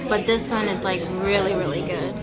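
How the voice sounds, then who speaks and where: A woman's voice: medium pitch, fairly even intonation, a little fast. Hesitant young woman in a noisy crowd. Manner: hesitant, lots of fillers and restarts, giggly. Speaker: event attendee being interviewed. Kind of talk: casual interview answers. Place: busy indoor café event. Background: loud crowd chatter.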